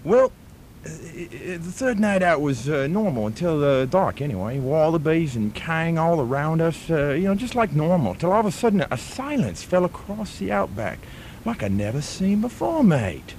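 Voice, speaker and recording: A man's voice: on the high side, very animated, a little mellow, slight background noise. A man with a put-on Australian accent playing a stammering bushman who tells a spooky tall tale in a comic radio drama. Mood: spooky storytelling. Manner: stammering, drawn-out storytelling.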